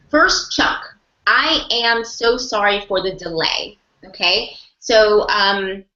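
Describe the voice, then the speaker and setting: A woman leads an online class for interpreters, encouraging them and walking through example after example. Her voice is bright and forward. It comes through a dull, echoey video call line. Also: medium pitch. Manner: encouraging teacher, talks through examples, rephrasing and repeating. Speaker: ASL interpreting mentor. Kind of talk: online group class discussion.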